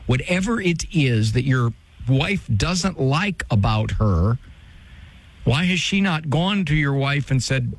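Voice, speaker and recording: A man's voice: medium pitch, expressive, takes it slowly. A man hosting an advice call-in show, talking directly and firmly and pressing the caller with pointed questions. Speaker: radio advice host.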